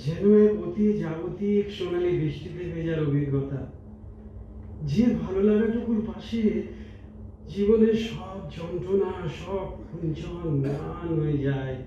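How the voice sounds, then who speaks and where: A man's voice: high-pitched, a little slow, mellow. A man playing a tender, reflective old retired teacher in an audio drama, speaking poetically and wistfully. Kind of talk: Bengali stage audio drama. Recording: muffled, echoey hall from far off, noisy.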